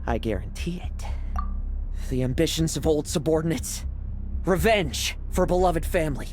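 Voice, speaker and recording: A man's voice: fairly high pitch, lively intonation, moderate pace, lightly acted. A young man playing a cocky, sardonic young knight vice captain in a video game, theatrical and taunting. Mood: sardonic, assured. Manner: theatrical, taunting, swinging from soft to shouted. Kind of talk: dramatic video game voice acting. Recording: loud music under the voice.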